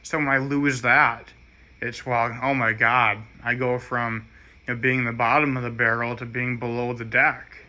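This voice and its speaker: An American man giving a rambling, halting personal monologue in an earnest, aggrieved manner. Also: mid-range voice, flat delivery, pushed and bright.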